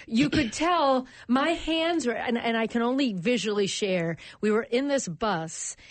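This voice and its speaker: An American woman, a radio guest, telling a heartfelt story in an animated, emotional way. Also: on the high side, unhurried, no room sound. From United States. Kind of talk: interview storytelling.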